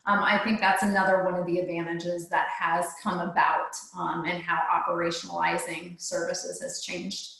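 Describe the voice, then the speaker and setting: A woman panelist on a video call speaking in a flat, measured way. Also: fairly low pitch, gravelly. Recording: very echoey room on video call.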